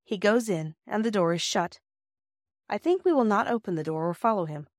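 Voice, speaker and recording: Female audiobook narrator: measured, expressive storybook reading, mid-range pitch, very dry recording. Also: no room sound.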